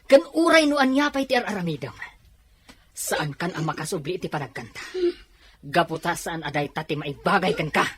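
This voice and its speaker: Furious, fast-scolding domineering husband. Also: male voice, a little high, lively intonation, a bit bright, husky. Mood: furious, accusing. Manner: scolding, accusatory, rapid outbursts. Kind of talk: radio drama acting.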